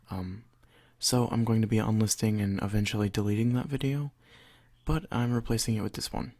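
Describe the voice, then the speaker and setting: A man's voice: on the low side, darkish tone, no echo, studio quality. A young man chatting casually and unscripted to his viewers, in a hesitant, apologetic, rambling way. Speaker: ASMR video creator introducing a roleplay.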